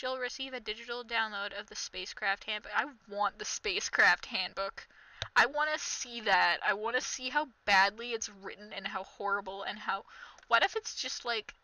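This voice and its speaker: Sarcastic, bright-voiced young woman. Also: medium pitch, moderate pace, no room sound, rough recording. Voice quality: nasal. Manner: mocking, exasperated, reads aloud then jabs. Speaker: commentary vlogger. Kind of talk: sarcastic reaction commentary.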